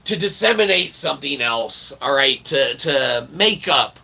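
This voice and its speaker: Gravelly man rambling in wordy, pseudo-formal phrases. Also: mid-range voice, measured pace. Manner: verbose, pseudo-formal wordy phrasing with frequent tag questions; tongue-in-cheek mock philosophizing. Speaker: livestream host. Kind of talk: rambling casual monologue to live viewers. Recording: steady background noise.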